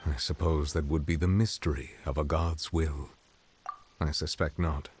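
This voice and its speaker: Deep, formal judge. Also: male voice, steady tone, a little mellow, a little hiss or hum. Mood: measured. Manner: formal, measured, deliberate. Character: dignified male chief justice, measured and formal. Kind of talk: game dialogue. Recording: game dialogue with faint music behind.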